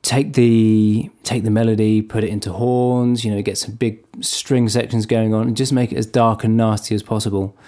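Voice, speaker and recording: A man being interviewed as a guest composer, answering thoughtfully with frequent pauses and fillers. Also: fairly low pitch, level tone, mellow. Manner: thoughtful, hesitant, many fillers.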